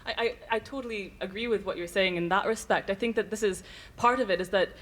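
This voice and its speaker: A woman's voice: mid-range voice, fast, a bit bright. A woman arguing a point articulately, with hesitant phrasing. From Canada. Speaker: audience member.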